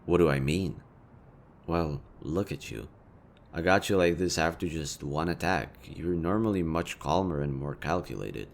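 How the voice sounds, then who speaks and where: A low-pitched, smug supervillain acted by a man talks straight to the listener in an audio roleplay. He is sardonic and casually sweary, with a theatrical taunting manner and a hidden caring side. Some background ambience sits behind the voice. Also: measured pace, creaky, slight background noise, full character voice. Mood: subdued, respectful. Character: smug male city supervillain, sardonic yet secretly caring.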